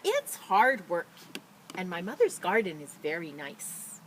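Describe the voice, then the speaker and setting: Expressive woman reading to children, noisy background. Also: on the low side, a little slow. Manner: expressive, enthusiastic, addressing young children. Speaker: teacher librarian reading a picture book to children. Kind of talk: children's story read-aloud with casual introduction.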